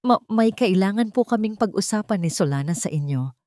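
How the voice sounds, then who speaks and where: A woman's voice: medium pitch, expressive, brisk, mellow, no echo, studio quality, voice pushed up, close to an everyday voice. A woman voicing a hesitant, guilty grown son who talks evasively, in an audio story.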